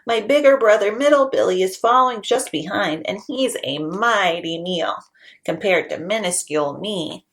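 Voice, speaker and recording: A woman storyteller voicing a little young male goat who begs a troll to let him pass, high and pleading. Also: lively intonation, moderate pace, lightly acted. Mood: urgent.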